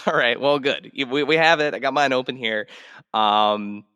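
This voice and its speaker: A man's voice: medium pitch, measured pace, pushed and bright, clear, resonant tone, no room sound. A man speaking casually as a podcast host. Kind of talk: casual chat.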